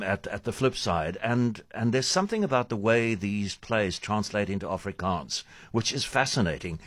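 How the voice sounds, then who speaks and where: A man's voice: fairly low pitch, no room sound. A man hosting an arts interview, talking in a rambling way with restarts and fillers. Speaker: radio arts host.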